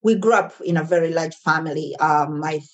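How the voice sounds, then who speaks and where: A woman's voice: sits low, fairly quick. A woman in her forties, born and raised in Uganda, talking earnestly as an interview guest about her businesses, often restarting her sentences. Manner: earnest, restarts and repeats words. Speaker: guest, entrepreneur. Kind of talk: casual interview conversation.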